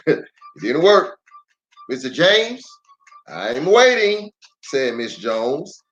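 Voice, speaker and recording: A man reading a children's story aloud in an expressive, dramatic way with deliberate pauses. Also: mid-range voice, slight background noise. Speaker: storyteller, pastor. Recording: roomy echo, faint music.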